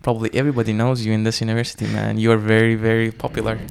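A man's voice: lowish voice, racing, creaky, clean tone. A man hosting a podcast, asking questions in a friendly, joking, casual way. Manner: friendly, joking, lively asides. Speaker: podcast host. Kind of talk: casual interview.

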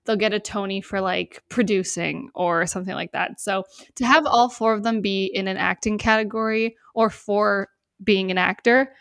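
A woman's voice: mid-range voice, steady tone, normal speed, gravelly, fairly bright tone, no room sound, clean studio sound. A young adult woman co-hosting a casual film and TV podcast in an informal, upbeat, appreciative way. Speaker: podcast co-host.

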